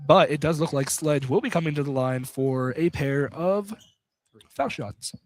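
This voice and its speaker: A man's voice: on the high side, a little slow. A man doing casual, informal live play-by-play commentary of a basketball game for radio. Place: courtside at a college basketball game, noise behind.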